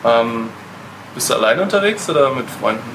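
A man's voice: medium pitch, expressive, fast talker. A man hosts a casual travel podcast, asking the guest questions and answering with short words of agreement. The recording has plain background noise and an air-conditioning hum. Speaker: podcast host, backpacker. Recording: noisy recording.

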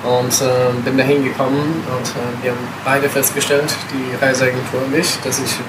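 A man in his thirties, born in Italy, talks as a podcast guest about his travels. He pauses and fills gaps with sounds, now and then dropping in English words. A loud air-conditioning hum and background noise sit under his voice. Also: medium pitch, little pitch movement. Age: 30s. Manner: hesitant, many filler sounds, mixes English words. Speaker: interview guest, backpacker. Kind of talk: casual podcast interview.

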